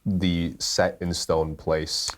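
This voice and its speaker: Deep-voiced American man, rambling casually. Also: level tone, drawn-out words, soft background music. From California. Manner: meandering, hesitant, full of fillers. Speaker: podcast guest. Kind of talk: casual conversation.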